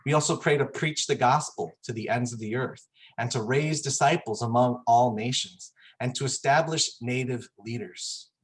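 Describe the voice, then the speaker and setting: Man, from Chicago, husky voice, medium pitch, earnest presentation, measured delivery. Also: rough recording. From Chicago, United States. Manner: earnest, measured, with occasional fillers. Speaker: forum presenter. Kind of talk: prepared presentation talk.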